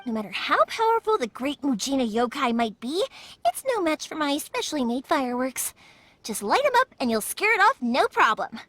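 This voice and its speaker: A woman's voice: high voice, big pitch swings, moderate pace, fairly bright tone, no room sound. A woman voicing a cheerful young fireworks maker, upbeat and encouraging, in game voice acting.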